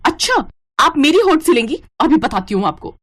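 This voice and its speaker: Woman putting on a bratty, shrill high-pitched young woman. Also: very animated, moderate pace. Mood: furious, taunting. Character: bratty, defiant young sister-in-law.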